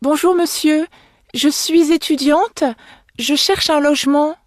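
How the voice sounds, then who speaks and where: A polite young female student, acted by a woman in an exam dialogue. She speaks slowly in a high voice, recorded dry. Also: fairly even intonation, no echo. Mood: polite, curious.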